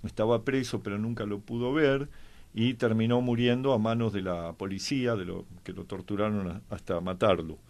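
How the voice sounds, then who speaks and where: A man with an Argentine Spanish accent discusses history informally as a guest commentator, explaining in a halting way with drawn-out pauses. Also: lowish voice, fairly even intonation, darkish tone.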